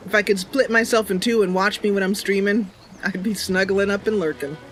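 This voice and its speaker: A woman's voice: mid-range voice, fairly bright tone, low-quality recording. A woman hosting a live game stream, chatting casually with viewers and thinking aloud. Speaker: live streamer and channel host. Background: game music.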